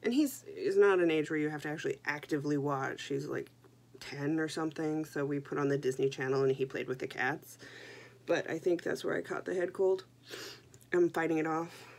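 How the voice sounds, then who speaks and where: A woman's voice: low-pitched, a little fast, creaky, darkish tone. A young woman chatting casually to camera about her knitting, stuffy from a head cold, with joking asides. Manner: chatty, self-deprecating, jokey asides. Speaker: knitting podcast host.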